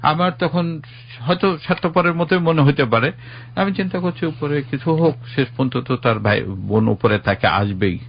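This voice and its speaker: A man's voice: on the high side, normal speed, creaky, husky, muffled recording. A middle-aged man with a Bangladeshi accent, a guest earnestly giving a personal account and explaining himself defensively. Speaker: interview guest.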